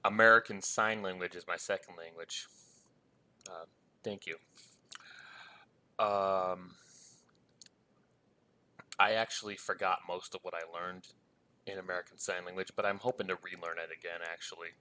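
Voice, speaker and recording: A man's voice: low voice, fairly even intonation, moderate pace, long pauses, bright, forceful tone. A man in his thirties giving casual commentary, hesitant and rambling, with many ums and trailing thoughts. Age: 30s. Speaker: reaction video host.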